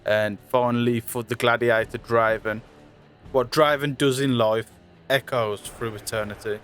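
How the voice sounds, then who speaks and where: Guy narrating a scripted trivia video, normal pitch, bit of music in the back. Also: steady tone, unhurried, fairly bright tone, smooth.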